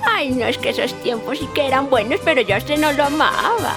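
An actress playing a frank, nostalgic old country wife with a Colombian accent in a radio drama. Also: female voice, sits high, expressive, a little fast. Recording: radio play with loud music underneath.

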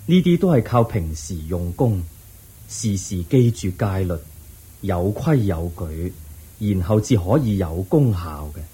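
A man's voice: deep voice, sing-song, heavily acted. A man playing the Buddha, patiently teaching an official in a Buddhist radio drama. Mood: serene. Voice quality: husky, dark, unhurried. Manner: gentle, patient preaching. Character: calm wise male Buddha teaching an official.

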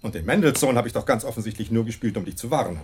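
An actor playing a brilliant, cheerfully superior gentleman detective in a German radio play, eloquent and suave with a wry condescension. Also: mid-range voice, lively intonation, rapid-fire, a little hiss or hum, close to an everyday voice. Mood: self-satisfied.

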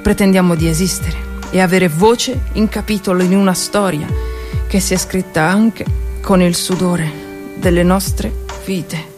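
A woman's voice: on the low side, steady tone, unhurried, darkish tone. Woman in solemn theatrical delivery, with music. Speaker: stage actress.

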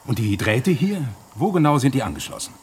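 An actor playing a cautious, God-fearing older professor in a radio drama, with a husky voice and a grave, admonishing manner. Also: male voice, mid-range voice, very animated, a little fast, slight background noise, lightly acted. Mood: curious. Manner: grave, admonishing, swelling and dropping. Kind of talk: German radio drama acting.

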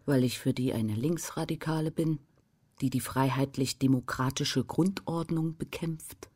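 A woman's voice: very deep voice, a little slow, darkish tone, breathy edge, heavily acted. A woman acting a guarded, defiant young left-wing student in a naturalistic radio drama, blunt and sardonic. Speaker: actress in radio crime drama.

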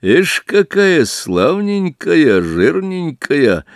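A man voicing a greedy, cruel old robber woman in a fairy-tale audiobook, with a gloating, drawn-out drawl. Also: fairly high pitch, sing-song, no room sound, studio quality, pitched above the performer's own voice, exaggerated voice.

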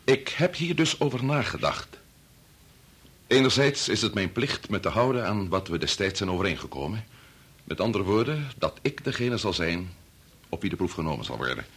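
An actor playing a grave middle-aged chief bacteriologist and family man torn by conscience, speaking formally and deliberately in a radio play. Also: fairly low pitch, very animated, a bit bright, slightly rough, exaggerated voice. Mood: solemn. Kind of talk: Dutch radio play acting.

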